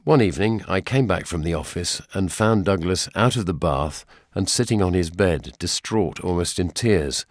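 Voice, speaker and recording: An older English man narrates a radio documentary in a deep voice. His delivery is measured and gently wry, and the sound is close and dry. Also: animated, no room sound. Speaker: presenter.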